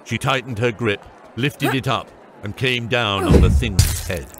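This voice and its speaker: A man's voice: medium pitch, a little slow, loud music behind the voice. A man narrating a scripted fantasy audiobook.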